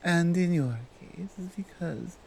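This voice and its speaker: A woman voicing a dominant yet tender female Krampus in an ASMR roleplay, with a Nordic accent and halting, deliberate broken English. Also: on the low side, animated, vocal fry, darkish tone, a little hiss or hum, full character voice. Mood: coaxing, gentle. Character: dominant, tender, seductive female Krampus, otherworldly gift-bringer.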